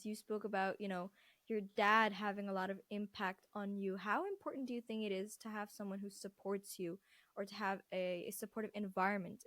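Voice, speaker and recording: A woman's voice: mid-range voice, fairly bright tone, no room sound. A young adult woman hosting an interview, friendly and upbeat, who sometimes laughs as she talks. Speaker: podcast host. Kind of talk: interview host.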